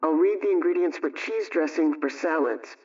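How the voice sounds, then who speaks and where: A man presenting a scripted homemaking radio program, reading out advice in a measured, instructional way. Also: fairly high pitch. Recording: muffled, distant radio sound.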